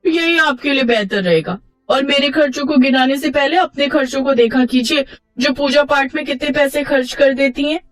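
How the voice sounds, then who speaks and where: A woman's voice: high voice, moderate pace, faint music behind, lightly acted. A woman voicing a cheerful, stubborn young daughter-in-law, sweet but insistent, in a moral story. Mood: defiant. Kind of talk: acted moral story for children.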